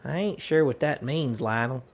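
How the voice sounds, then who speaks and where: An actor playing a cheerful, simple-minded young outlaw sidekick who rambles guilelessly in a folksy rural American Western drawl, in a comedy audio drama. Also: male voice, medium pitch, normal speed, lightly acted.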